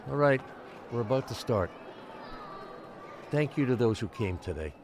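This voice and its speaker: An actor playing a beleaguered small-island mayor in an audio drama, speaking in a measured, formal, placating way. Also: male voice, mid-range voice, animated, a crowd in the background, background noise, lightly acted. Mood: grave, measured. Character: beleaguered small-island mayor trying to calm frightened residents. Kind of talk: audio drama acting, public address.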